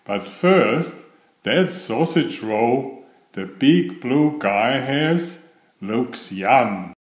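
A man reading a children's picture book aloud in a deliberate, expressive storytelling manner. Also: medium pitch, roomy sound.

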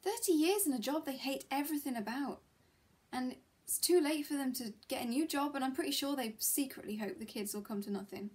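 Actress as frustrated, miserable female teacher, higher register, intimate and intense dramatic monologue, halting delivery. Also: lightly acted. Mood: bitter, agitated. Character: disillusioned, miserable woman schoolteacher, passionate and frustrated. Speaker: actor performing a monologue.

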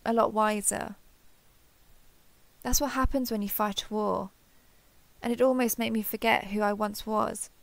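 A woman playing an earnest, affectionate starship captain in an intimate sci-fi audio roleplay, speaking haltingly and confessionally. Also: on the high side, vocal fry, no echo. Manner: hesitant, halting, apologetic, confessional.

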